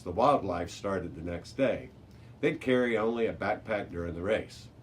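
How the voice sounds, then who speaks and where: A man reading a children's chapter book aloud as a storyteller, with the odd small stumble over the words. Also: sits low. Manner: occasional stumbles and self-corrections while reading. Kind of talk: children's audiobook read-aloud. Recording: noisy amateur recording with background hiss.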